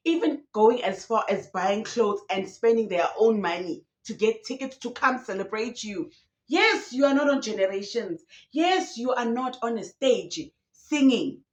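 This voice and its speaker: A woman's voice: on the high side, echoey room. Ranting woman YouTuber. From South Africa. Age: young adult. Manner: passionate, ranting, mixing in local slang. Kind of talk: casual commentary vlog. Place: room alone.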